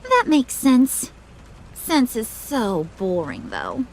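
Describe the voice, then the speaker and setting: A woman's voice: on the high side, wildly swooping pitch, takes it slowly, vocal fry, a little mellow, exaggerated voice, rough recording. A woman playing a playful, menacing young female villain in a video game, slangy and mock-sweet in her taunts. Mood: playfully taunting. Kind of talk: video game character acting.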